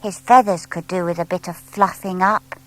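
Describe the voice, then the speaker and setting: A woman's voice: on the low side, fairly even intonation, moderate pace, a bit bright, close to an everyday voice, rough recording. A woman voicing a small young male barn owl, proud, eager and blunt, in a children's audiobook. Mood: earnest. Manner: childlike, hesitant when flustered, blunt.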